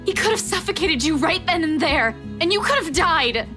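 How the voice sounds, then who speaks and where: A woman voicing a distraught young woman who shouts and pleads at a friend in a game. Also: very high-pitched, lively intonation, normal speed, music in the background, pitched above the performer's own voice. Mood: angry.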